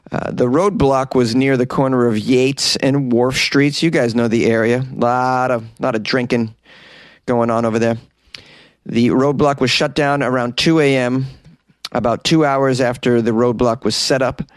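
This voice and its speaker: A man's voice: medium pitch, no echo, clean studio sound. A man who grew up in Boston, hosting as a comedian and joking through odd news stories with wry asides and laughs. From Boston, United States. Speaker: comedian and host.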